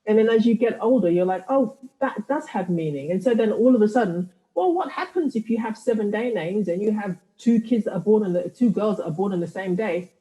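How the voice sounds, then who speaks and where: A woman's voice: mid-range voice, animated, brisk, few pauses, warm tone. Reflective woman in an echoey room. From United Kingdom. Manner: reflective, thoughtful, many restarts. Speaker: guest, writer and podcaster. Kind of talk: conversational interview.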